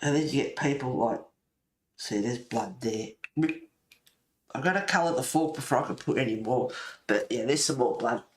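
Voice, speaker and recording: A man's voice: medium pitch, animated, normal speed, reverberant. A man chatting casually and informally while colouring, rambling and thinking aloud as he goes. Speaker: host of a colouring channel.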